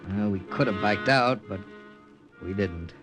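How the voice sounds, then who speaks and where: A man's voice: on the low side, unhurried, loud music behind the voice, lightly acted. A man playing a wary, tough American secret agent in a 1940s radio drama, speaking and narrating tersely with an American accent. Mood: tense narration.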